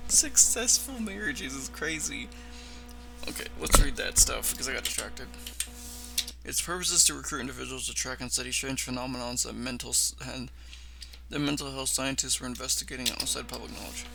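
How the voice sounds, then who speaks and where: A man's voice: medium pitch, gravelly, low-quality recording. A man doing casual reaction commentary and reading text aloud, often stumbling over words and correcting himself. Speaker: reaction video host.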